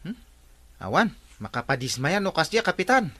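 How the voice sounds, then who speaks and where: A meek, grumbling village workman for an Ilocano radio comedy. The voice is very high, bright and swooping, with an Ilocano accent. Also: big pitch swings, moderate pace, full character voice. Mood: disheartened.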